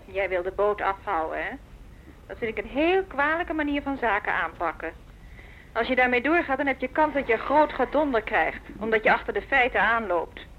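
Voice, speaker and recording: A dull-sounding phone scene from a radio play. An actress plays a combative woman teacher with a fairly high voice. She presses her point insistently and reproachfully. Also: lively intonation, normal speed, a little hiss or hum, natural voice. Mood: threatening, indignant. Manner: insistent, confrontational. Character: pushy, reproachful woman music teacher leading a faction. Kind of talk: Dutch radio drama dialogue. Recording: dull, lo-fi telephone scene recording.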